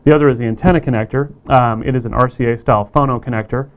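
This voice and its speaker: A man's voice: medium pitch, very fast. Plain-spoken man on dull audio. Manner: relaxed, plain-spoken, with frequent "uh" and "okay". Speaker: company staff demonstrating a kit. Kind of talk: casual product demonstration.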